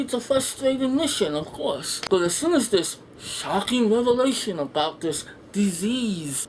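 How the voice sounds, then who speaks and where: A casual video reviewer with a mid-range voice rambles through strong opinions. The delivery is blunt, sarcastic and sweary. Faint room noise and ambience sit behind the voice. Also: mid-range voice. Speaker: film reviewer, video host. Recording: noisy home room with background ambience.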